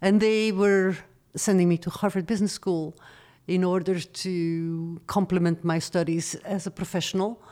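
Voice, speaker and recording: Woman, middle-aged, lowish voice, measured storytelling. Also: fairly even intonation, warm tone. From Belgium. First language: not English. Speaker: guest, former studio executive. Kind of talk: interview conversation.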